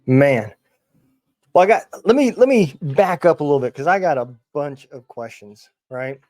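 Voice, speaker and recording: A man hosting a casual interview, thoughtful and halting as he works his way toward his questions. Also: on the high side, animated, a little mellow, dry recording, studio quality. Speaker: podcast host.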